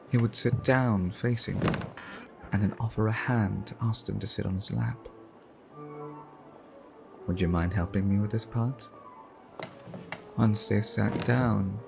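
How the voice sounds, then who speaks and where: A man's voice: lowish voice, gravelly. A man playing a suave, brooding vampire artist in an intimate ASMR roleplay, speaking formally and theatrically as he tells stories to the listener. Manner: slow, formal, theatrical storytelling. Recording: music behind the voice. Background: background music.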